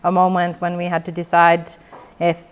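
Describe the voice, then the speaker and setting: A woman from South Finland whose first language is Finnish, a tourism business owner chatting outdoors in a relaxed, matter-of-fact way with a light humor. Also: lowish voice, normal speed, smooth. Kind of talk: casual interview chat. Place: outdoors by a river in Lapland.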